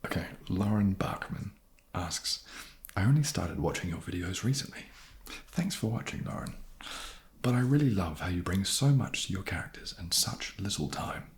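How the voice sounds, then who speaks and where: A soft-spoken man casually answering viewer questions in a gentle, wry way. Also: deep voice, expressive, normal speed, slight background noise. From New Zealand.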